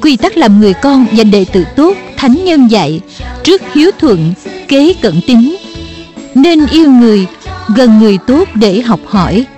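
A woman chanting short moral verses slowly and clearly for a children's cartoon. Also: fairly high pitch, big pitch swings, mellow, faint music behind. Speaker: verse reciter. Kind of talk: slow chanted verse recitation.

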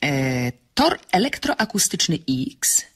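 Husky-voiced woman reading fiction. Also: fairly low pitch, very animated, takes it slowly, a bit bright. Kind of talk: expressive audiobook reading of a novel with acted dialogue.

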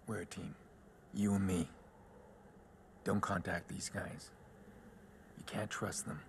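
An actor playing a grim, guarded vigilante hacker in a video game, gravelly and terse. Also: male voice, fairly even intonation, slow, a little hiss or hum, heavily acted. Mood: coaxing. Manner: terse, low-key. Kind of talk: cinematic video game acting.

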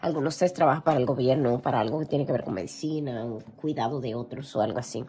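A hoarse woman reading tarot cards in a casual, gentle way, clearing her throat often. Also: rumbling low, fast talker, a little hiss or hum. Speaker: tarot reader. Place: indoor room with a tarot table. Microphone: camera over table, hands seen.

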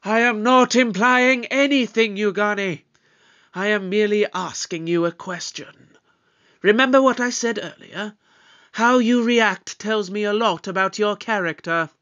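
A man playing a shrewd, measured investigator in an audio drama, speaking formally and deliberately. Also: sits high, bright, forceful tone, lo-fi sound. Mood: firm, probing. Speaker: investigator questioning suspects. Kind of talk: fan audio drama acting.

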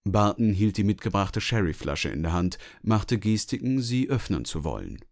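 A man's voice: fairly low pitch, sing-song, moderate pace, creaky, darkish tone. A man giving scripted literary narration as the narrator of a story.

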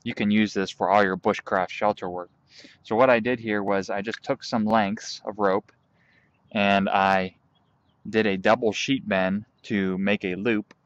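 A young man outdoors in a field, calmly walking through a hands-on tutorial step by step while he works with his hands. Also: on the low side, measured pace, highs cut off. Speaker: bushcraft instructor.

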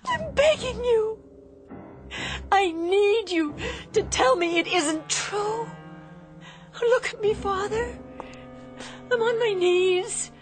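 An anguished young robot daughter in a radio play. Her high voice swings widely as she speaks with dramatic, pleading emotion, over music. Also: expressive, slow, pauses often, heavily acted. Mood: desperate pleading.

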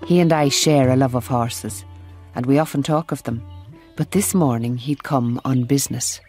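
An actress with an Irish accent playing a middle-aged, shrewd and gently firm Mother Superior in a radio drama. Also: very deep voice, moderate pace, slightly rough, soft background music. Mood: reflective. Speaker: narrator and character actress. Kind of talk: radio drama narration and acting.

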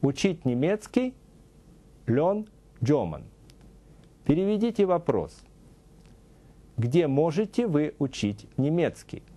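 A middle-aged man teaching a scripted TV language lesson, saying English example phrases slowly and deliberately, in a studio. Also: a little high, big pitch swings, dark tone, husky. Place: TV studio with a news-desk set.

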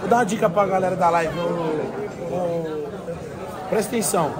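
A man's voice: high voice, normal speed. A young man streaming live from a restaurant, teasing friends in slangy, joking casual banter. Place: crowded restaurant at night. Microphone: camera held close. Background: loud crowd chatter.

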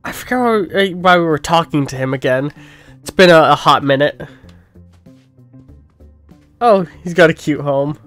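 A man's voice: fairly high pitch, measured pace, long pauses, a bit bright, faint music behind. A man hosting a game playthrough with chatty, self-deprecating asides, a bit congested from a cold. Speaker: let's play host. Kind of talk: casual gameplay commentary.

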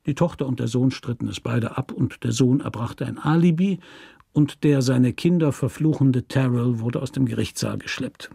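A man's voice: mid-range voice, normal speed, gravelly, dark tone, husky, dry recording, studio quality. A man narrating a literary radio story as a scripted reading.

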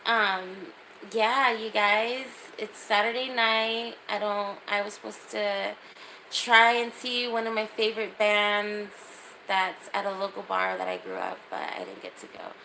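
A woman's voice: mid-range voice, measured pace, gravelly, bright, forceful tone, slight background noise, poor audio. A woman in her thirties chatting casually and informally as she hosts a livestream from a small room, holding her phone at arm's length. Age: 30s. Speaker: livestream host. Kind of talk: casual livestream chat, reading and answering comments.

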